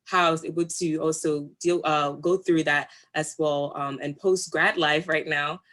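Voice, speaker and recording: A young woman from Ghana, a recent graduate, giving her introduction on a panel in a halting, earnest way with many fillers. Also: deep voice, fairly even intonation, a bit bright. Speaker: student panelist.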